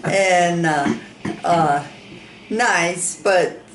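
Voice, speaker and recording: A woman's voice: fairly low pitch, drawn-out words, echoey room, slight background noise. A woman from South Dakota sharing childhood memories in a family interview, plain-spoken and rambling, recorded in a room of a ranch home. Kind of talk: reminiscing in an interview.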